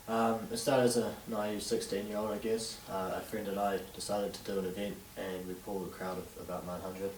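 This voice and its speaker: A young man in his late teens with a New Zealand accent, answering interview questions haltingly with many fillers. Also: deep voice, level tone, a little mellow, a little hiss or hum. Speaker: interviewee, young DJ and event organiser. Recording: distant roomy camera sound with faint ambience.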